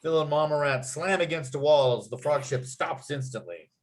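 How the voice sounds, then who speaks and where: A man's voice: a little high, moderate pace. Man narrating a comedy script. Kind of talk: scripted narration, reading screenplay action and scene headings.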